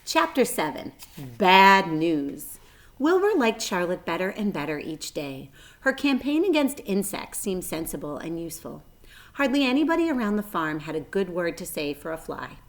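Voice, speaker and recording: A woman reading a children's story aloud like a teacher, expressive and addressing young listeners. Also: lowish voice, moderate pace. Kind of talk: storybook narration.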